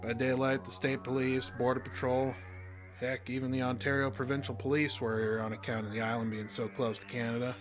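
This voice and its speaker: A man's voice: medium pitch, flat delivery, brisk, over music, natural voice. An American man playing a weary, plainspoken fishing guide with a folksy, uneasy manner in a horror audio drama. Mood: haunted, shaken. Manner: folksy, ungrammatical storytelling.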